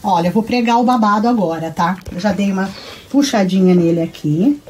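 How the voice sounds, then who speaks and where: A woman's voice: mid-range voice, brisk, slightly rough. A woman with a Brazilian Portuguese accent, chatting casually while demonstrating sewing on a live stream in a workroom. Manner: chatty, lively, laughs often. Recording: noisy sewing room. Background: sewing machine noise.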